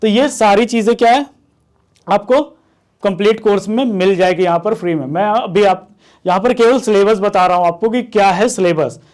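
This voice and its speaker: A man with a notably high-pitched voice teaches like an exam coach. He explains things informally and conversationally, repeats key phrases to drive them home, and keeps checking that listeners follow. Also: moderate pace, a little mellow. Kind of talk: informal explanatory lecture to students.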